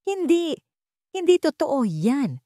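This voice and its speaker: A woman's voice: high-pitched, very animated, slow, mellow, dry recording, clean studio sound, pitched above the performer's own voice, full character voice. A woman voicing a scheming, haughty young bride, a menacing and imperious villainess in an audiobook melodrama. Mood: frantic, shrieking. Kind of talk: first-person audiobook melodrama.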